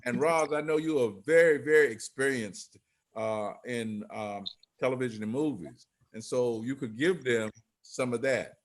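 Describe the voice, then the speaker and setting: A man's voice: medium pitch, moderate pace. A man giving casual feedback on a video call in loose, broken-up phrases.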